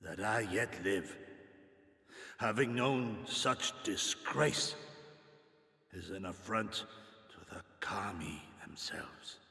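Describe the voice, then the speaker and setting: An actor playing an aging, proud samurai in a game cutscene, solemn and humble, speaking in formal, archaic, halting oratory with a gravelly voice. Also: male voice, fairly low pitch, bright, forceful tone, full character voice. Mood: remorseful. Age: older. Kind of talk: dramatic game cutscene acting. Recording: echoey hall with long reverb.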